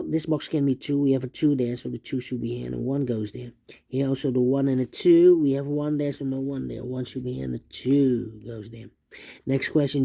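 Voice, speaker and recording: Male host for a step-by-step puzzle lesson; relaxed, gravelly tone, mid pitch, halting speech with repeated phrases. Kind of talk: step-by-step spoken tutorial, unscripted.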